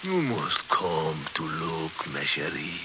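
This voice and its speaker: An actor playing a gleefully macabre, crazed old hermit with a gravelly voice and a French accent, in theatrical old radio horror style. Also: male voice, a bass voice, sing-song, slow pace, bright, forceful tone, full character voice. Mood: eerie coaxing. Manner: theatrical, wheedling, erratic swings into shrieks. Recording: hissy, dull old radio recording.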